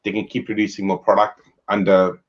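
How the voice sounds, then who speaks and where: A man hosting a casual video-call chat about whisky, relaxed and chatty. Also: on the low side, brisk, dull audio. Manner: relaxed, chatty, uses fillers. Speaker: host.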